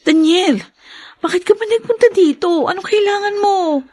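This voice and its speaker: A woman's voice: very high-pitched, moderate pace, a little mellow. A defiant cornered woman. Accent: Filipino. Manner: defiant, pleading. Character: young woman cornered by a violent ex-lover.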